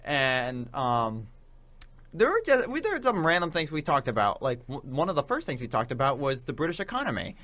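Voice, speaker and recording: A man's voice: medium pitch, huge pitch swings, brisk, fairly bright tone. A young man co-hosting a radio show in casual, chatty banter. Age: young adult.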